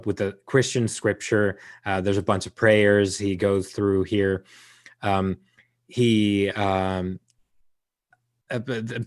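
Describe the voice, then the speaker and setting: A hoarse man runs a tabletop game, narrating slowly and haltingly with plenty of fillers. His voice sits on the low side for a man. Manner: hesitant, many fillers, chuckles often. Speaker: game master.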